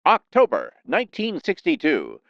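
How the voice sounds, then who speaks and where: A man narrating scripted news in a dramatic, measured 1960s newsreel announcer style. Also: on the high side, huge pitch swings, creaky, a bit bright, no echo, highs cut off.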